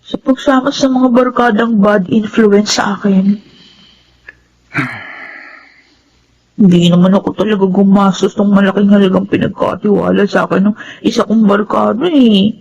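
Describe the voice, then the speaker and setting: Remorseful young daughter, dulled radio sound. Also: female voice, mid-range voice, steady tone, moderate pace, creaky, a little mellow, slightly rough. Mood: defensive, apologetic. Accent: Filipino. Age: young adult. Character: remorseful wayward grown daughter of a struggling family. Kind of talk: Filipino radio drama acting. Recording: muffled, faintly noisy radio drama recording.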